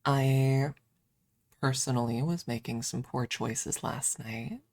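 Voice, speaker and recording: A woman streamer chatting casually in a soft, hesitant ASMR style with playful self-deprecation, close to the microphone. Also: very deep voice, steady tone, slow pace, no echo. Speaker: ASMR livestreamer. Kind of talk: ASMR, casual rambling chat.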